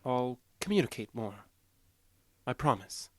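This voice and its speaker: A restrained, dry-recorded, detached boyfriend. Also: male voice, mid-range voice, measured pace, no room sound. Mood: earnest. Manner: flat, hesitant, literal, restrained. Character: calm, emotionally detached man, awkward and earnest boyfriend. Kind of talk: naturalistic audio drama acting.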